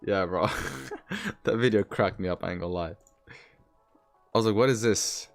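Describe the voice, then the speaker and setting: Young guy on a trading stream, sounds american but grew up in sweden, slangy and chill, lowish voice, repeats himself a lot. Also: normal speed, soft background music. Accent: learned American English. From Sweden, originally Eastern Europe. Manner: laid-back, slangy, repeats his phrases. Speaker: livestream trading host. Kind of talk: casual live-stream chat reading comments.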